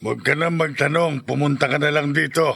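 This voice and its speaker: Gravelly, irritable ailing old father. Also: a little high, steady tone, brisk, a bit bright. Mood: curt, urgent. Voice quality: gravelly, creaky. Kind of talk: radio drama acting.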